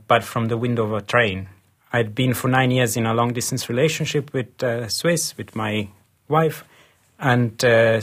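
A man from Italy, a guest in a studio interview, speaking in a hesitant way as he searches for words and recalls his experience. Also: medium pitch. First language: Italian. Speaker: guest, video journalist. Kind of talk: casual recollection in interview.

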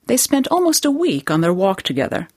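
A woman hosting a radio program in a studio, reading scripted narration in a measured presenting manner. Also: on the high side, sing-song, a little mellow, husky, no room sound. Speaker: program host.

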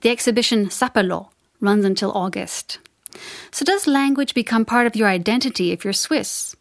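A woman radio host introducing a report and interviewing a guest, with brisk, conversational questions. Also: on the high side, gravelly.

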